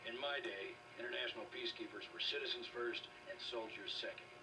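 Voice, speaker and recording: A man's voice: mid-range voice, brisk, far from the mic, music in the background, close to an everyday voice, poor audio. A man playing a principled older military quartermaster in a video game, stern and admonishing. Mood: stern, reproachful. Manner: admonishing, plain-spoken.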